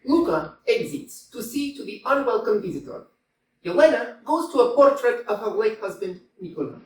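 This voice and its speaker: Male stage-direction reader, lively pitch, heard from afar. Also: normal speed, distant mic. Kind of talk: reading stage directions aloud. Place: small community theater stage. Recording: echoey stage picked up from afar.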